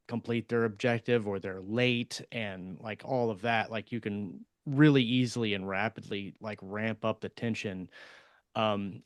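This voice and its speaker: A man's voice: on the low side, clean tone, dry recording, studio quality. A man co-hosting a podcast, casually explaining writing craft and repeating words as he thinks. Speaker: podcast co-host.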